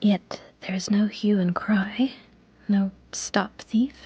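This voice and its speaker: An actress playing a poised young socialite with a detective's shrewdness in a radio drama, genteel and self-assured. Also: female voice, mid-range voice, steady tone, slow pace, darkish tone, husky, dry recording, dull audio. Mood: guarded, shrewd. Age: young adult.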